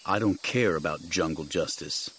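A grim warrior-monk master, acted by a male narrator for an audiobook. He speaks very low, in terse, controlled commands, stern and unyielding. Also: normal speed, a bit bright, slight background noise. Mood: cold, resolute.

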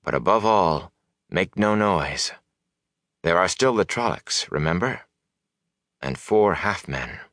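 A man voicing a grave, commanding sorceress who speaks in measured, solemn warnings for an audiobook. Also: low-pitched, animated, fairly bright tone, dry recording, studio quality, heavily acted. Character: stern, commanding sorceress, grave and urgent woman.

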